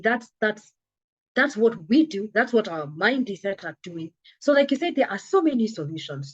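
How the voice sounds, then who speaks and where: A woman's voice: mid-range voice, no room sound, dull audio. A woman, a telehealth company founder, talking earnestly in an interview, her voice a little scratchy from a coming cold. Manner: earnest, emphatic, acts out little dialogues. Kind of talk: conversational interview.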